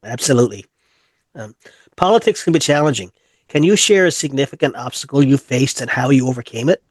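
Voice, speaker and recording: A man's voice: mid-range voice, expressive, fairly quick, slightly rough, no echo. A man hosting an interview, asking brief, polite questions and giving short affirmations. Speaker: interview host.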